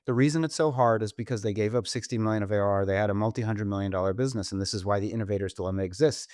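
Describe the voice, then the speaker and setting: A man's voice: fairly low pitch, rapid-fire, a little mellow, dry recording, clean studio sound. A man hosting a conversational business podcast, speaking emphatically and repeating phrases for effect. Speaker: podcast host.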